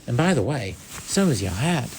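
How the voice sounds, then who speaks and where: A man's voice: mid-range voice, very animated, moderate pace, darkish tone, a little hiss or hum, poor audio. A man voicing an earnest, anxious young boy.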